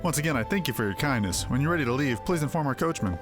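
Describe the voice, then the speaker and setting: A man voicing a courteous, earnest family man in a fantasy video game, speaking in formal, measured, polite phrases. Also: mid-range voice, lively intonation, close to an everyday voice. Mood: polite, gracious. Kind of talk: video game character dialogue. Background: game music.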